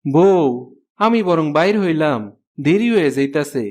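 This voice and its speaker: A man's voice: on the high side, animated, unhurried, darkish tone. A man playing a poor, humble village fisherman in a cartoon folk tale, speaking plain rustic Bangladeshi colloquial Bengali. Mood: grateful.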